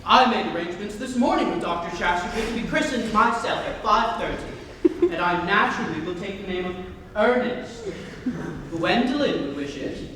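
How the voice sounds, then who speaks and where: Young man playing indignant gentleman, very high-pitched voice. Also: expressive, moderate pace. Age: young adult. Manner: indignant, emphatic. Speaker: student performer in a dramatic reading. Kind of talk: theatrical comedy stage reading. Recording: very echoey hall, distant, noisy.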